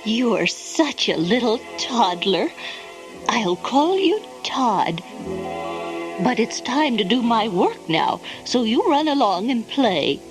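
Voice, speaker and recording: An actress playing a kindly, doting elderly widow in an animated film. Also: female voice, on the high side, slow, low-quality recording. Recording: music behind the voice.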